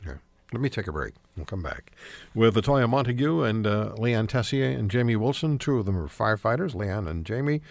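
A man hosting a radio talk show, firm and quick to cut in on callers. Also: lowish voice, expressive, gravelly, dry recording. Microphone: studio microphone.

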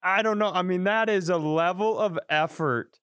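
Smooth-voiced wry man cracking jokes. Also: high-pitched, a bit bright. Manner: wry, quick joking. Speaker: podcast co-host. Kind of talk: casual podcast banter.

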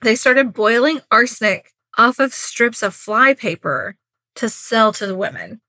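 A woman's voice: fairly high pitch, unhurried, sharp tone, dry recording. A woman co-hosting a podcast, casually retelling a true crime story in an informal, conversational way and acting out quotes. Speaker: podcast co-host.

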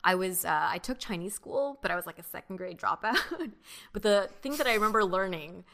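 A young woman from the United States, co-hosting a podcast and telling childhood stories in a relaxed, reflective way, with many fillers. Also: mid-range voice, brisk, gravelly. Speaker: podcast co-host. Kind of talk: casual chat.

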